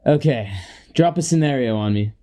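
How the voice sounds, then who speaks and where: A young man acting in a comedy radio play as a crude, cocky slob who coaches people in a pompous, deliberate way. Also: mid-range voice, animated, warm tone, lightly acted. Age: young adult.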